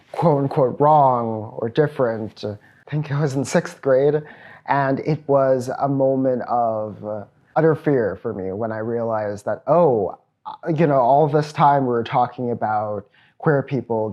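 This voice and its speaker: A man's voice: medium pitch, muffled recording. A man in his thirties from Trinidad and Tobago, a programs director giving a reflective, earnest personal testimony at a virtual event. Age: 30s.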